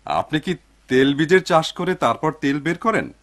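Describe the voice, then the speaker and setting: A man's voice: on the high side, lively intonation, moderate pace, fairly bright tone. A man playing a pious, courteous father and host in a dubbed cartoon. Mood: welcoming. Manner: courteous, earnest. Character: hospitable, pious middle-aged father hosting a guest.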